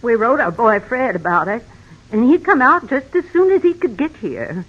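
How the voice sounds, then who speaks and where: Old frontier mother, swooping pitch. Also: sing-song, normal speed. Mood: grieving. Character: old homesteader mother, homely, plaintive, hospitable. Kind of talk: old-time western radio drama acting. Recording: dull vintage radio broadcast recording.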